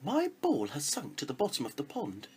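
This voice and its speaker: A man doing a high wailing young princess. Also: wildly swooping pitch, moderate pace, husky, full character voice. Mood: pleading. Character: lonely young princess, girlish and pleading.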